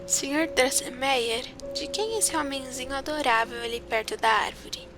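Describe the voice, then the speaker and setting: A woman's voice: high-pitched, moderate pace, music in the background, close to an everyday voice. A woman playing a sweet, timid young girl in an audio drama, speaking in an earnest, pleading way. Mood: curious.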